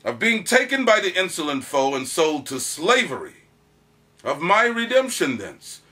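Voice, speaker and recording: A man's voice: on the high side, sharp tone, full character voice. A man performing a proud soldier who recounts his courtship in a declamatory, theatrical Shakespearean monologue. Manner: declamatory, dramatic emphasis.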